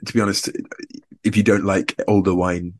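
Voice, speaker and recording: A young man from Scotland co-hosting a podcast in casual, rambling chat that often trails off quietly. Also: low voice, little pitch movement, normal speed. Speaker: podcast co-host.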